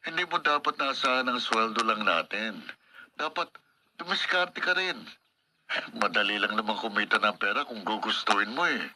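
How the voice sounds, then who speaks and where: Goading corrupt Filipino cop, noisy recording. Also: male voice, lowish voice, expressive, normal speed, sharp tone. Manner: persuasive, goading, cynical. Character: corrupt, worldly male police buddy urging bribe-taking. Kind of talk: Filipino radio drama acting. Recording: noisy radio broadcast sound.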